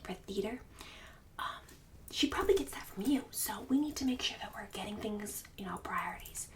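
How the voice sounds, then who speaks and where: A woman playing a snobbish, bossy book-club mom in an ASMR roleplay, mostly in a breathy whisper with fussy, passive-aggressive condescension. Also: mid-range voice, animated, moderate pace, recorded from a distance, a little hiss or hum, natural voice. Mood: condescending, scolding. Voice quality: breathy whisper and soft speech.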